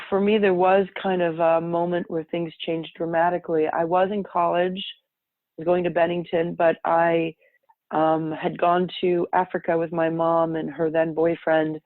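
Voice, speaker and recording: Gravelly woman on a tinny line. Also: fairly low pitch, dry recording. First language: French. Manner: reflective, rambling, self-correcting. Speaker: podcast guest. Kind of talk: casual personal storytelling. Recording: tinny phone-line sound, dry.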